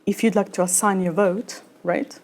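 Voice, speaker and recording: Woman, 30s, conference presenter, filler-heavy, average pitch. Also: steady tone, darkish tone. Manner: halting, many fillers and restarts. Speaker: conference speaker. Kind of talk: conference talk with audience Q&A. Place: lecture room at a conference.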